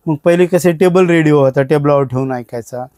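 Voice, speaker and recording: A man's voice: on the high side, fairly quick, warm tone, no echo, clean studio sound. A man talks informally as a collector, explaining his items in short phrases. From India. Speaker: radio collector being interviewed.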